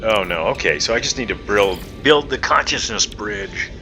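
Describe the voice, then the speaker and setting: A man giving casual, unscripted gameplay commentary, thinking aloud in halting fragments. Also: expressive, faint music behind. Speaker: gaming video host. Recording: loud constant background noise, vehicle-like rumble.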